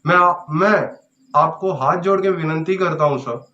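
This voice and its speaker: A man's voice: a little high, moderate pace, pushed and bright. A man in his twenties from Maharashtra, pleading in a self-made video appeal and repeatedly addressing "sir". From Maharashtra, India. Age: 20s. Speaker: stranded sailor appealing for help. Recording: echoey, dull self-made phone video.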